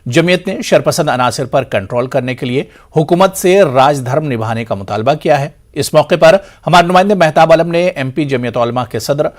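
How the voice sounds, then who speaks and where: A man reading scripted news as an anchor, in a formal and measured manner. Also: on the high side.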